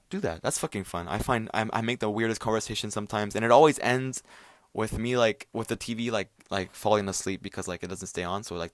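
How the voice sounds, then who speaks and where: A young man from Quebec talks straight into the mic for his own podcast, his voice sitting fairly low. He rambles and swears constantly, stumbling through fillers and restarts as he rants. The sound is dry, with hardly any room around it. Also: no echo. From Quebec, Canada. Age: young adult. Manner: profane, rambling, filler-heavy. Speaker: podcast host. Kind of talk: casual solo rant podcast.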